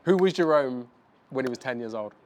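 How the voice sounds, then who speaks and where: A man's voice: medium pitch, lively intonation, a little fast, a little hiss or hum. A man hosting a podcast, asking casual questions in a friendly, encouraging way. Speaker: podcast host.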